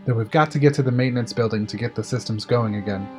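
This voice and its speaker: A man's voice: medium pitch, fast talker, over music, close to an everyday voice. A man playing a weary, stressed control-room engineer in an audio drama. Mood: urgent.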